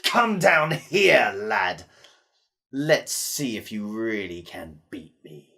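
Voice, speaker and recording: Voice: mid-range voice, sing-song, slow, creaky, bright, forceful tone, voice pushed down, exaggerated voice. A storyteller voicing a boastful, menacing male toad knight in a children's tale, taunting and roaring. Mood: scornful, threatening.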